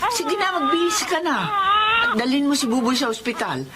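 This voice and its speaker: A take-charge older Filipino mother in a radio drama. She is insistent and bossy but caring, with big pitch swings and loud noise behind. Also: big pitch swings, normal speed.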